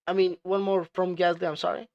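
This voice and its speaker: A man who is a non-native English speaker hosting casual commentary, explaining things in a halting, repetitive way. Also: high-pitched, level tone, darkish tone, highs cut off, a little hiss or hum. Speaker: host reviewing team radio clips.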